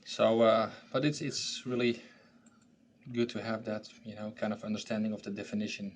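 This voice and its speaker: A man hosting a training session, walking through a software tool in a conversational style. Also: fairly low pitch, level tone, moderate pace, a little hiss or hum. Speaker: training presenter and host.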